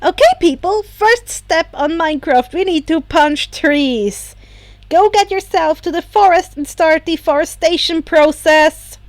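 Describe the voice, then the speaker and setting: A woman's voice: very high-pitched, unhurried, no room sound, voice pushed up. A woman voicing a cheerful, bossy young boy who streams games, in a fan fiction reading. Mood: perky, instructing.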